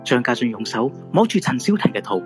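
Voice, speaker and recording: A man's voice: medium pitch, sing-song, brisk, over loud music. A man with a Hong Kong Cantonese accent narrating a supernatural radio drama in a dramatic storytelling style.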